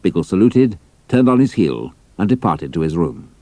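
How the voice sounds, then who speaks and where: A man's voice: sits low, very animated, moderate pace, dark tone, no echo. A man with a British accent narrating a scripted adventure story as part of an audio drama.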